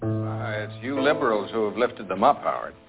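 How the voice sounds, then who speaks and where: A scornful, stubborn conservative man argues in a film drama with lively, jumping intonation. The recording is phone-like, with music clearly behind. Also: on the low side, normal speed. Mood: scornful, indignant. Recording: phone-like film clip under loud music.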